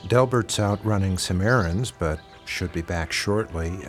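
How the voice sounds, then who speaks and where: A church pastor with a very low male voice. He is courteous and a little guarded, speaking in an audio drama with clear music behind him. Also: measured pace.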